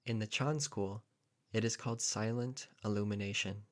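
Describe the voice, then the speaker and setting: A man narrating a guided meditation as a teacher, in measured, deliberate phrases with pauses. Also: lowish voice, steady tone.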